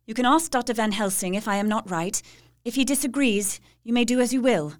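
Woman voicing a haunted young wife, intense, measured, fairly high, audio drama. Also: natural voice. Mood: pleading.

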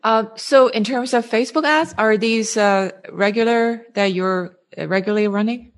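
A woman interviewing someone casually, asking questions haltingly and rephrasing them midway. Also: on the high side.

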